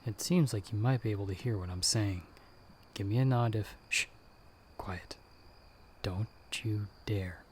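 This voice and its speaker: A man playing a stern, sardonic monster hunter who guides the listener in hushed, urgent tones in an ASMR audio roleplay. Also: fairly low pitch, a little hiss or hum, natural voice. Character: gruff, sardonic male monster hunter, a disembodied guiding voice.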